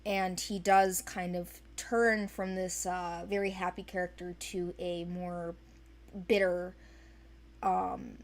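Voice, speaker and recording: A woman talking casually and off the cuff as the creator of an animated series briefing people who want to audition. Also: fairly low pitch, takes it slowly. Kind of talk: casual unscripted discussion.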